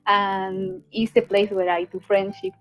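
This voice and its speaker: A woman's voice: mid-range voice, level tone, measured pace, muffled recording, soft background music. A young woman with a Peruvian accent giving an unscripted testimonial as a student. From Peru. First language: Spanish. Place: indoor lounge.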